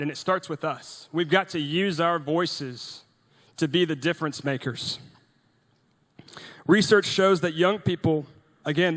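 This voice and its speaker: A man's voice: fairly high pitch, a bit bright. Earnest young man exhorting a hall. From Norway. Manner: earnest, exhorting, with frequent restarts and rhetorical questions. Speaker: event speaker. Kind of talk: rousing speech to a youth audience. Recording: long reverb of a large hall.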